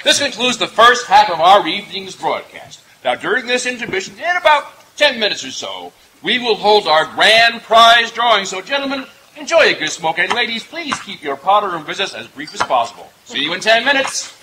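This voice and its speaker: A man's voice: sits high, big pitch swings, moderate pace, few pauses, slight background noise. A man announcing an old-time radio show with a formal showman's delivery, on an outdoor stage recorded by a distant camera. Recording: distant camera, music and loud audience.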